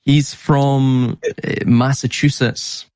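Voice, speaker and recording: A man's voice: mid-range voice, little pitch movement, takes it slowly, a little mellow, dry recording. A man talking in a casual podcast conversation, explaining things in an earnest way. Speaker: podcast guest and researcher.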